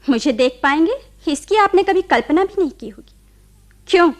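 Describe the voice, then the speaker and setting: A woman's voice: very high voice, animated, moderate pace. A woman acting a proud, accusing young nurse in a radio play, with an indignant, cutting way of speaking. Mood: bitter, accusing. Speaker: radio drama actor.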